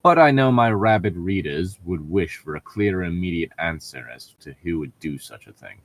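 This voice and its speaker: Low-voiced man reading aloud with sarcastic asides. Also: expressive, normal speed. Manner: sarcastic, profane, stumbles over words while reading. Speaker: co-reader on a fan fiction riffing show. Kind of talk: casual read-aloud with mocking commentary.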